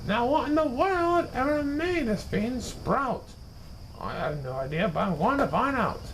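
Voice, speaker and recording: A man's voice: very high-pitched, sing-song, measured pace, a bit bright, slight background noise, heavily acted, rough recording. A man voicing a pompous, learned male school principal in a storybook, speaking in a declamatory way. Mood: puzzled.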